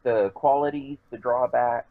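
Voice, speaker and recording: A man's voice: medium pitch, steady tone, unhurried. A man chatting casually as a player in a tabletop game, heard over thin, phone-like online call audio. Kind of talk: casual chat during a game.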